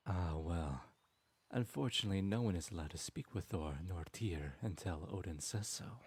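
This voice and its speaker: A man voicing a sly, smooth-talking male trickster god in an audio roleplay, speaking in a silky, teasing and persuasive way. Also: sits low, lively intonation, normal speed, a little mellow. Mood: scheming.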